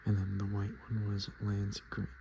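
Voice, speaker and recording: A man softly speaking and whispering in a casual, murmuring ASMR style, as host showing items to the viewer. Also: fairly low pitch, completely flat delivery, moderate pace, creaky, slight background noise. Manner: hushed, casual murmured asides. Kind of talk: ASMR, softspoken and whispered card show-and-tell.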